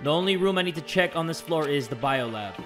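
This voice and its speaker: A man thinks aloud as he plays through a game, filling his talk with casual fillers and asides to his viewers. His voice sits a touch high for a man, and it sounds hoarse and tired from a long session of recording. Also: animated, fast talker, music in the background. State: hoarse, voice worn out. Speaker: gaming video host. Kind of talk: let's play commentary, reading game text aloud.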